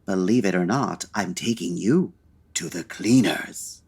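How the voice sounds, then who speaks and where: An actor playing a suave, wry 1940s gentleman detective in a comedic radio drama, with dry, urbane and formal delivery. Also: low-pitched, measured pace, vocal fry, breathy edge, full character voice. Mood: wry, purposeful. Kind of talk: comedic old-time radio drama acting.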